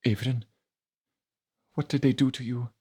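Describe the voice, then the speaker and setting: A man's voice: medium pitch, fairly even intonation, measured pace, warm tone, slightly rough, voice pushed up. A man voicing a bitter, hot-tempered, grieving male character in an audiobook, accusing and anguished.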